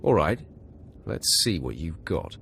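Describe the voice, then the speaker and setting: A cocky Sith trainee in a game cutscene. His deep voice swings expressively, blunt and terse with a dry, flippant edge. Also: big pitch swings, takes it slowly, gravelly, fairly bright tone, a little hiss or hum, full character voice. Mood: dismissive. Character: confident, blunt male Sith acolyte hero. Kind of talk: video game cutscene acting.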